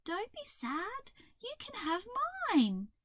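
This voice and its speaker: A gentle young girl caterpillar from a picture book, acted by a woman, comforting and kind. The voice is very high and sing-song, with a lively swing in pitch. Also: slow, dry recording, studio quality, full character voice.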